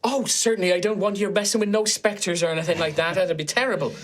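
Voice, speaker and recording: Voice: fairly even intonation, fast, natural voice. A game master voicing a flustered horned woman who runs a café, stammering and fussing, with a wobbly put-on Irish accent. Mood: eager. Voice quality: high and breathy, fumbling.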